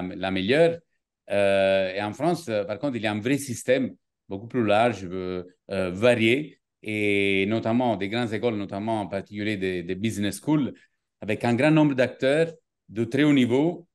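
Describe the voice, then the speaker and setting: A middle-aged man from southern Italy with an Italian accent, a native Italian speaker, giving a formal welcome speech with halting, repeated phrases. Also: mid-range voice. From Capri, southern Italy. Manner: halting, with frequent repetitions. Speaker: business school director general giving an opening address.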